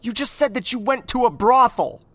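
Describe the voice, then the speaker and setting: A man's voice: very high-pitched, moderate pace, no room sound. A man playing an exasperated, lovestruck young nobleman in a fantasy audio drama. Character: young nobleman, exasperated, secretly smitten, fearful of his father.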